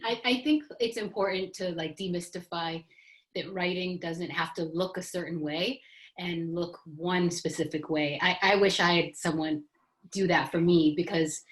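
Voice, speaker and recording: A woman author on an online panel, chatting in an animated, jokey, self-deprecating way. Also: lowish voice, rough recording. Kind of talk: casual panel chat. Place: online video event.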